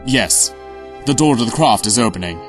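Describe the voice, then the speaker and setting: A man's voice: medium pitch, animated, normal speed, faint music behind, close to an everyday voice. A man playing a formal military aide in an audio drama, reporting in a clipped, dutiful way. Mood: urgent. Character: crisp male military aide reporting to the prime minister.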